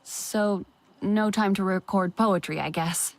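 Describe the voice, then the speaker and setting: An actress playing a young Na'vi woman in a video game, a wary and curious investigator with a measured, questioning manner. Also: mid-range voice. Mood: probing. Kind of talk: video game dialogue acting.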